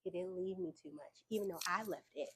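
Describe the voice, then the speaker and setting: A young adult woman, telling her story as an interview guest in a casual, relaxed way with fillers and easy laughs. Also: mid-range voice, fairly quick, creaky, slight background noise, poor audio. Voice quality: soft voice. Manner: relaxed, frequent fillers, laughs between points.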